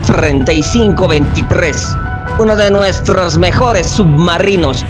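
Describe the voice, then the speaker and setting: An actor playing a formal German admiral in a radio drama, reporting in a measured manner. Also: male voice, high-pitched. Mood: formal, grave. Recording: music under the voice.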